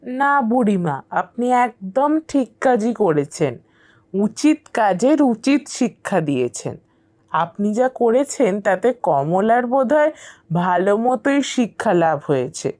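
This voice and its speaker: A woman voicing an exasperated but fair village mother in a cartoon, speaking in an earnest, expressive way. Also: mid-range voice, unhurried. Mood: respectful approval. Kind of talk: cartoon voice acting.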